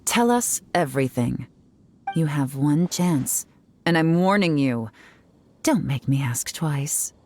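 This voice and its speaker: A woman voicing a cool, confident female investigator who speaks in a calm, measured, teasing way. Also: low voice, slight background noise. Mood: menacing. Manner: calm, measured, quietly menacing.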